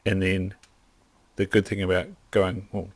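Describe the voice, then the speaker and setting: A man's voice: low voice, flat delivery, measured pace, no room sound. A young man, talking as a podcast guest, rambling eagerly with lots of "like" and restarts. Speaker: podcast guest, hunter and optometrist. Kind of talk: casual interview chat.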